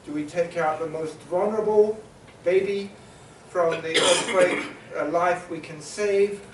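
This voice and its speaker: Halting male conference facilitator in an echoey hall. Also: high voice, background noise. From New Zealand. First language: Maori. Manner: halting, many filler sounds and restarts, summarizing. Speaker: panel facilitator. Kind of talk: conference panel moderation. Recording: echoey hall, mic at a distance.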